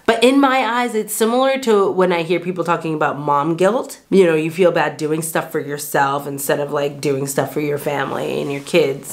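An American woman in her thirties talking candidly to camera in a vlog, earnest and reflective, with frequent "like" fillers and restarts. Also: fairly low pitch. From United States. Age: 30s. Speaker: vlogger. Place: bright small bedroom indoors. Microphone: camera framed chest-up at desk distance.

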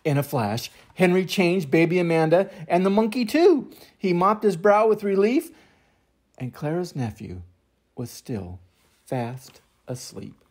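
An older man reading a children's picture book aloud as an expressive storyteller. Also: high voice, a little slow, slight background noise. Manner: expressive, acts out sound effects and voices.

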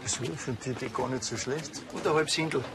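An actor playing a laconic, dryly sarcastic police officer with a Bavarian accent in a television crime comedy. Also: male voice, medium pitch, fairly quick, very noisy, close to an everyday voice. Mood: unruffled. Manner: dry, deadpan.

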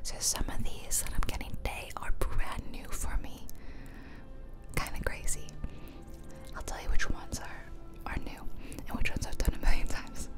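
A woman's voice: normal speed, loud music behind the voice. A woman whispering chatty, playful ASMR commentary over a video game, close to the microphone. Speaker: host of an ASMR gaming channel. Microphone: close ear-to-ear ASMR microphone.

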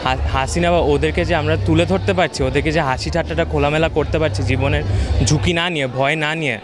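A man's voice: fairly high pitch, fairly even intonation, brisk. A man in his twenties with a Bangladeshi accent answering an interview unscripted, dropping in English terms. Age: 20s. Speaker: exhibition visitor. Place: indoor gallery. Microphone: handheld interview microphone. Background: music.

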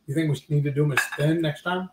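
A man in his thirties, hoarse from being sick, chatting easily while cooking and eating live at home. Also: fairly high pitch, fairly even intonation, fast talker, crowd noise. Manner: easygoing, chatty. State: hoarse from illness. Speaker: livestream co-host. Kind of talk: casual live cooking chat. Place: home kitchen. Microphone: fixed camera mic at the table. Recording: echoey kitchen room.

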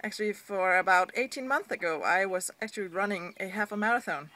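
A woman's voice: medium pitch, brisk, pushed and bright. A woman in her thirties from Denmark, speaking English with a Danish accent. She chats casually to a phone camera held at arm's length outdoors, with frequent fillers and small laughs. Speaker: vlogger. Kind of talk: casual self-recorded vlog. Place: outdoors beside a brick wall. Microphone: handheld phone camera at arm's length.

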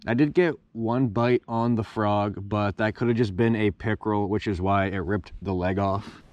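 A man's voice: fairly low pitch, steady tone, normal speed, darkish tone. A young man narrating his own fishing trip from a kayak on a lake, talking casually to himself. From New Hampshire. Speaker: fishing vlogger. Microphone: head-mounted action camera.

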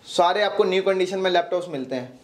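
A man's voice: high-pitched, fast. A young man hosting a casual vlog inside a laptop shop and warehouse, upbeat and talking straight to his viewers. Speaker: vlog host.

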